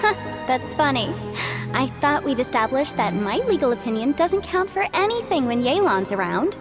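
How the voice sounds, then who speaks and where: A woman's voice: high-pitched, moderate pace. A woman voicing a cheerful, articulate young legal advisor in an anime-style game, polite and a little sly. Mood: teasing. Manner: chatty, expressive, articulate. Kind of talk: anime game cutscene voice acting. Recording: music behind the voice.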